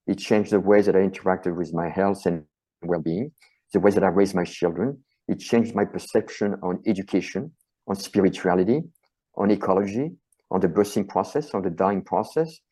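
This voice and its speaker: A man's voice: low voice, normal speed, mellow, slightly rough, poor audio. A middle-aged man with a French accent, a chiropractor and author answering interview questions earnestly and passionately, often stacking phrases into lists.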